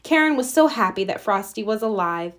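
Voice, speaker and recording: A woman reading a children's storybook aloud as narrator, with expressive storytelling. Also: mid-range voice, fairly quick. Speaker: storybook reader.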